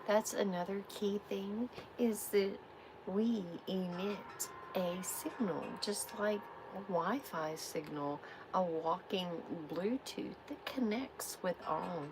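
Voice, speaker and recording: A woman hosting a live tarot reading, giving spiritual guidance in a soft, soothing way. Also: medium pitch, noisy background. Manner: soft, unhurried, soothing affirmations.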